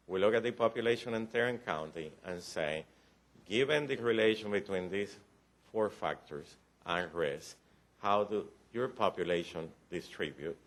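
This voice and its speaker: A man with a very low, deep voice and a Cuban accent gives a formal briefing in a county meeting room. He explains patiently, often restarting phrases, and adds a little light humor. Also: a bit bright. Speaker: presenter to county commissioners.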